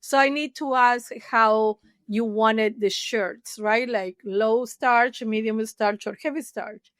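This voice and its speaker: A woman's voice: on the high side, steady tone, slow, fairly bright tone, dry recording, studio quality. A middle-aged woman from Argentina with an Argentine Spanish accent, a therapist telling her story candidly as a podcast guest. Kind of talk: casual interview storytelling.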